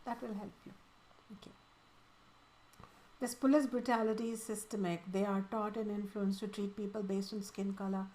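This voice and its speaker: A woman's voice: medium pitch, fairly even intonation, moderate pace, pauses often, a little mellow, poor audio. A woman from India with an Indian accent, a spiritual teacher answering student questions in an earnest, emphatic way.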